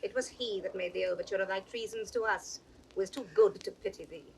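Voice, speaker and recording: A woman's voice: mid-range voice, fairly quick, gravelly, natural voice. A woman playing a cold, sadistic, scornful noblewoman in a 1960s Shakespearean audio drama. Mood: gloating. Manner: sharp, contemptuous. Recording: slightly noisy 1960s drama recording.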